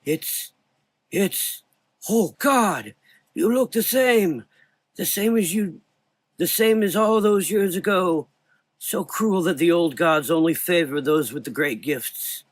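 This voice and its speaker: Frail, high-voiced old doctor. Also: male voice, animated, no echo, heavily acted. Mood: awed, bitter. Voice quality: weak, thin elderly voice. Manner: halting, repeating phrases, exclaiming. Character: frightened frail elderly male doctor, haunted, confused. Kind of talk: gothic horror audio drama acting.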